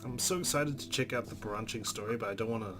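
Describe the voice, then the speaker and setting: A man's voice: on the low side, fast talker, music in the background. A man hosting a let's play, narrating a visual novel aloud and adding his own asides.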